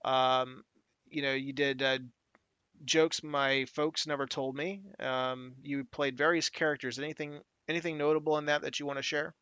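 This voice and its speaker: A male interview host who moves from topic to topic with halting starts and plenty of fillers. His voice is smooth and resonant, recorded dry with a somewhat dull, lo-fi tone. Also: medium pitch, flat delivery, pushed and bright, no room sound. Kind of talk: casual interview.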